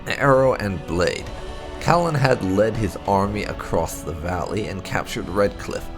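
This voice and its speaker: A man reading fantasy lore aloud as expressive scripted narration, measured and deliberate with dramatic emphasis. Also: lowish voice, gravelly. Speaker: host of a game playthrough channel reading lore entries aloud. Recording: music behind the voice.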